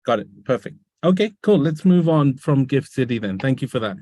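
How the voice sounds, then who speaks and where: A man's voice: medium pitch, animated, normal speed, warm tone, no room sound. Guy hosting a webinar on a video call, dry close sound, asks follow-ups. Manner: curious, asks follow-up questions. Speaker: webinar host. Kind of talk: conversational webinar interview.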